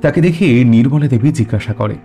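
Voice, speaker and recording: Male narrator, mellow dark tone, medium pitch, dramatic horror storytelling, faint music underneath. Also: a little fast.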